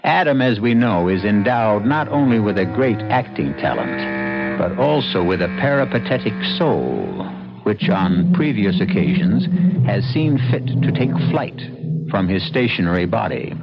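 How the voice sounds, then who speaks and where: A wry, urbane mystery host narrates in a low register with lively, dramatic inflection. The vintage radio recording is dull, with clear music underneath. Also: male voice, a little slow. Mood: wry, ominous. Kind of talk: old-time radio scripted narration. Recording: muffled old radio recording, music behind.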